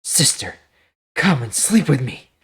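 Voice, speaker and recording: A man's voice: medium pitch, very animated, measured pace, fairly bright tone, slightly rough, heavily acted. A young prince, voiced by a man reading scripture, lovesick and callous. He speaks in a blunt, commanding way, a little raised above ordinary narration. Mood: demanding.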